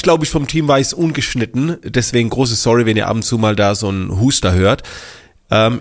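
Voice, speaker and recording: Man, podcast host, slight cold, casual storytelling, animated, many fillers, medium pitch.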